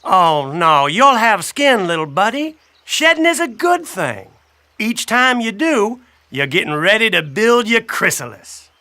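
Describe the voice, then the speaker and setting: Man as storybook blackbird, high-pitched, animated, friendly, wry, folksy mentor. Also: unhurried, full character voice. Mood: friendly, enthusiastic. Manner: folksy, expressive, addresses listener directly. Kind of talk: animated children's audiobook storytelling.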